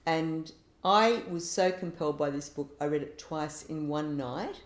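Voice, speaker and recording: A woman's voice: sits low, unhurried. A woman hosting an interview with a novelist, speaking with enthusiasm and encouraging her guest. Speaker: interviewer, professor. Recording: echoey room.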